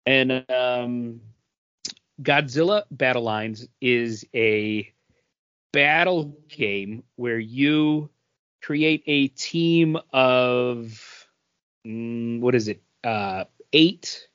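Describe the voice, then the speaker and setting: An easygoing man co-hosting a podcast, leading into the next segment. He talks slowly and without hurry. The recording is dry and dull, with a lo-fi sound. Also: medium pitch, fairly bright tone, clean tone, no room sound. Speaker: podcast co-host. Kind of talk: casual chat.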